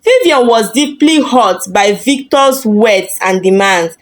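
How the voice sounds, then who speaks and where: A woman's voice: fairly high pitch, lively intonation, takes it slowly. A woman narrating a scripted story in a dramatic storytelling style.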